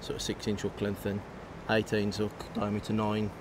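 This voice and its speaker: A man, giving a relaxed, detailed walk-through of his fishing rig outdoors by a river. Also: lowish voice, moderate pace. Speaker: match angler guest. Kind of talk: casual explanation of fishing tackle. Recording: outdoor recording, faint noise.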